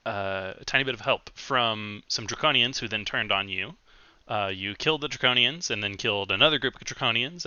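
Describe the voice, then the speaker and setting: A man's voice: on the low side, pushed and bright, smooth, no room sound, highs cut off. A man acting as game master, recapping the last session in a loose way with many ums and self-corrections.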